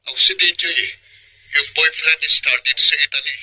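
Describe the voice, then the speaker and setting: Very bright, raspy boyfriend caller. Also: male voice, a little high, flat delivery, brisk, exaggerated voice, low-quality recording. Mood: puzzled, questioning. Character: puzzled overseas boyfriend calling long-distance. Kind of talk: Filipino radio drama acting.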